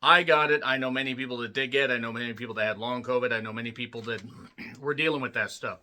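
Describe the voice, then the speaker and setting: A man with a slightly rough, worn voice delivers a film review in an unscripted monologue. He talks bluntly and forcefully, swears freely and keeps clearing his throat. His tone is sharp and bright. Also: medium pitch, steady tone, fast. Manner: blunt, profane ranting with frequent throat clearing. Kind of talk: casual spoken movie review.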